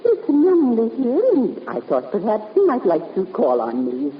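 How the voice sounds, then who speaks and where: A woman's voice: on the high side, wildly swooping pitch, moderate pace. An actress playing a fretful, genteel elderly lady companion in a radio drama, hesitant and fluttery in her speech. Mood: nervous, evasive. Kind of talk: 1950s radio drama acting. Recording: muffled, noisy 1950s radio recording, reverberant.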